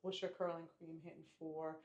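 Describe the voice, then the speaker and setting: Woman, hair-care vlogger, low register; casual and upbeat, repeats words for emphasis; echoey home room. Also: level tone, normal speed, a little mellow, dull audio. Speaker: natural hair YouTuber. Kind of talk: casual product review vlog.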